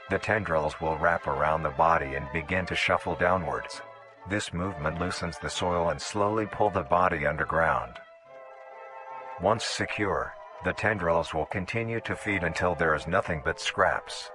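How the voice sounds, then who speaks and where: A man with a very low voice narrates a scripted horror nature documentary. His delivery is measured and instructional, and music plays clearly behind him. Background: background music.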